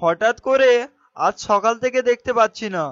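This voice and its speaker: A man's voice: extremely high pitch, moderate pace, fairly bright tone, dull audio. A man voicing a young, respectful, worried helper in a cartoon. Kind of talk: cartoon voice acting.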